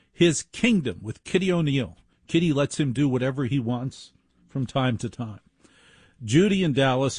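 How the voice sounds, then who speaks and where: A man's voice: mid-range voice, darkish tone, breathy edge, no room sound. A man hosting talk radio, chatting and teasing in casual banter.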